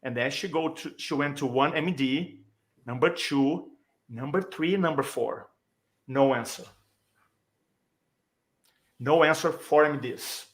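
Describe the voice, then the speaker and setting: A man with a Brazilian accent and a fairly high-pitched voice tells personal stories in a conversational way. He is a native Portuguese speaker talking as a guest speaker and coach, moving along in short phrases and acting out bits of dialogue. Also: takes it slowly, pauses often.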